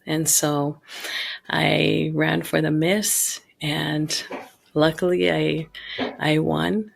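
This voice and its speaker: A woman talks through her story in a loose, meandering way, circling back with lots of "you know". Her voice is gravelly with a flat, level pitch. She is a guest on a podcast. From born in Hawaii, raised in Utah. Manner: rambling, many restarts and "you know". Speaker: guest, pageant founder.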